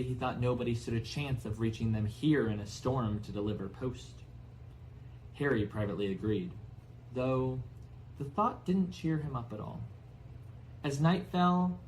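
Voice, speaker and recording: A man reading a storybook aloud as narrator in an expressive style. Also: medium pitch, a little hiss or hum, rough recording. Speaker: audiobook narrator.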